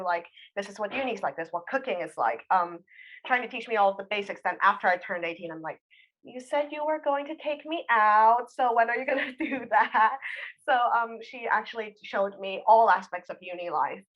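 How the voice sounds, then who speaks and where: A woman's voice: medium pitch, muffled recording. A young woman student casually telling personal stories, acting out bits of conversation as she goes. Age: young adult. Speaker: student panelist.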